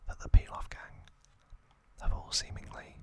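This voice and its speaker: A man hosting ASMR, giving a trivia recap in a soft, breathy, unvoiced whisper with halting, informal asides. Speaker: ASMR host.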